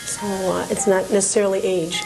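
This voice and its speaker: A woman's voice: mid-range voice, flat delivery, a little fast, gravelly, darkish tone, soft background music, low-quality recording. A woman speaking casually and conversationally as an interview guest. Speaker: interview guest, memorabilia collector. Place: public library.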